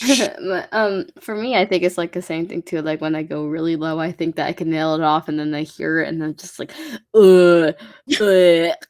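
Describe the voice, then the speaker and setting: A woman's voice: low voice, moderate pace, darkish tone. Giggly young woman podcast host. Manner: giggly, encouraging backchannels. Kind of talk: casual chat, reading listener questions.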